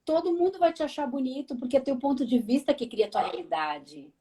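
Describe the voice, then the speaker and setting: Gaúcha woman, higher voice, talking to viewers. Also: brisk. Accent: southern Brazilian gaúcho. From Rio Grande do Sul, Brazil. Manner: lively, addresses viewers directly, frequent tag questions. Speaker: holistic therapist hosting a live stream. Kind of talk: conversational live talk.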